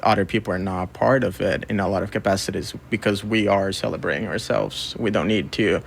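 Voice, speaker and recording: A man's voice: fairly low pitch, steady tone, fairly quick, vocal fry, a little hiss or hum. A man from Brazil speaking casually as a guest in an interview. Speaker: interview guest, record label co-founder and music photographer.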